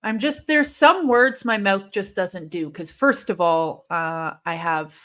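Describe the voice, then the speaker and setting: A woman's voice: mid-range voice, unhurried. Woman streamer from illinois, rambly live chat, says like a lot, hissy s's. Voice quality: intense, sharp S sounds from a mostly corrected lisp. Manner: chatty and rambling, heavy use of "like". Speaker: host of a live wrestling watch-along. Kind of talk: casual live-stream chat reading comments.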